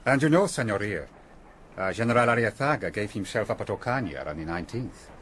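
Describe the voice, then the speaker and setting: An actor playing a cool, wry Spanish guerrilla leader with a Spanish accent in a radio drama. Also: male voice, lowish voice, lively intonation, fast, a bit bright, a little hiss or hum. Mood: wry, assured. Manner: wry, cool, matter-of-fact.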